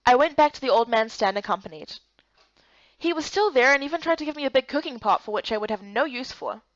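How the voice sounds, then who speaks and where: A woman plays a young human heroine in a visual novel, curious and a bit unsure. Her high voice is plain-spoken yet lively in pitch. The sound is slightly dull. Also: quick pace, no echo. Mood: narrating. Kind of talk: first-person visual novel narration and dialogue.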